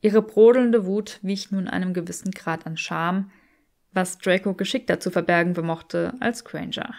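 A woman's voice: on the low side, moderate pace, darkish tone. Woman narrating fiction with wry measure. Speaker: audiobook reader. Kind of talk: expressive scripted fiction narration in German.

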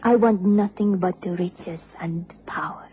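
A woman's voice: fairly low pitch, measured pace, natural voice. An actress playing a greedy, scornful, scheming young woman in a radio drama. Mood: gloating. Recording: dull, noisy old radio recording.

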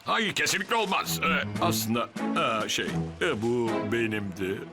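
A man's voice: medium pitch, sing-song, normal speed, lightly acted. A man playing a fussy, formal older fire officer in a cartoon dub, commanding but easily flustered. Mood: flustered, defensive. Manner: formal, commanding, sputters when embarrassed. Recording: music behind the voice.

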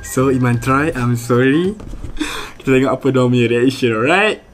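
A Malaysian man in his twenties hosts a prank video. He chats casually and playfully, dropping English into his slang, with clear music playing behind him. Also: medium pitch, moderate pace. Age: 20s. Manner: animated, jokey, slang mixed with English. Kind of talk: casual chat to camera and on calls. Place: small bedroom, indoors.

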